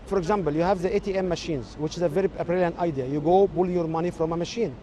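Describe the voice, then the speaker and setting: A man with an Egyptian accent and native Arabic, giving measured, explanatory interview answers as a technology expert. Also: high-pitched, dark tone, a little hiss or hum.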